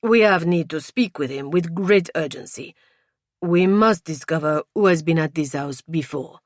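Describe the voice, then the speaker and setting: A man's voice: fairly high pitch, normal speed, dry recording, studio quality, close to an everyday voice. A woman playing a haughty, easily puzzled French male police inspector with a French accent and formal, stilted English in an audiobook. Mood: stern.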